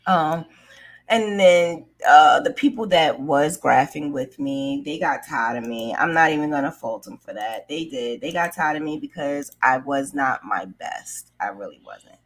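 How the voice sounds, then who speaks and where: A woman's voice: deep voice, lively intonation, unhurried. A woman telling a personal story casually and candidly, in a conversational way, repeating phrases for emphasis. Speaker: crochet craft vlogger and storyteller.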